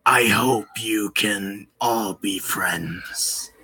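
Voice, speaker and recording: A streamer doing a calm, low-voiced man. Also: drawn-out words, slightly rough, full character voice. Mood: mild.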